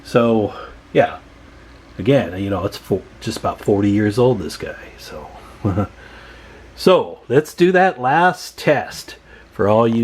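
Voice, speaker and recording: A man's voice: medium pitch, a little mellow. Casual American man demonstrating radio gear. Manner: folksy, addresses viewers as guys and folks. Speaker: radio repair hobbyist and video host. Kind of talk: casual hands-on demonstration vlog.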